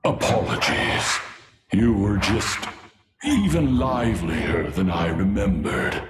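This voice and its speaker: A man's voice: on the low side, animated, takes it slowly, roomy sound, crowd noise. A man playing an apologetic male companion in a video game. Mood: sheepish. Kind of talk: anime-style game voice acting.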